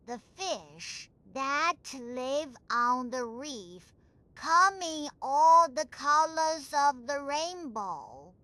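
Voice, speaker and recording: A woman narrating a scripted bedtime sleep story in a soothing, measured, unhurried manner. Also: high voice, expressive, sharp tone, no echo.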